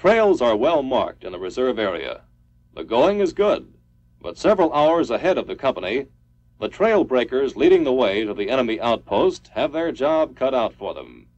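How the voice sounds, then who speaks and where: A man's voice: mid-range voice, big pitch swings, normal speed, a little mellow. A man reading a scripted 1950s military training film narration in a formal, clipped, instructional manner. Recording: dull lo-fi 1950s film soundtrack.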